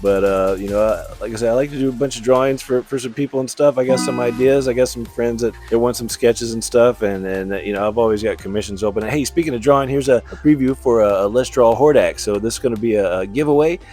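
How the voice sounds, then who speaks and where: A middle-aged man giving a casual, rambling commentary on artwork in an informal, halting, self-deprecating way. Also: medium pitch, warm tone. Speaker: artist showing his portfolio. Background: background music.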